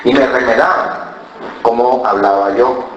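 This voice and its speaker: A man's voice: mid-range voice, measured pace, reverberant, muffled recording, background noise. A man, a pastor with an accent from Cali, Colombia, preaching a sermon and telling a personal story, often asking "¿verdad?". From born in Ecuador, raised in Colombia. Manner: storytelling, mimics other voices, frequent "¿verdad?". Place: church.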